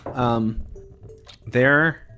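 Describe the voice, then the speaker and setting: A man casually narrating a tabletop game as game master, speaking in a halting, conversational way. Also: mid-range voice, bright, forceful tone, faint music behind.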